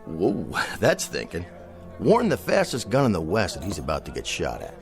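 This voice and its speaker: An actor playing a vain, pompous TV cowboy star who whines and rants theatrically. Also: male voice, deep voice, huge pitch swings, normal speed, faint music behind, full character voice. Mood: scornful. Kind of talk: radio drama acting.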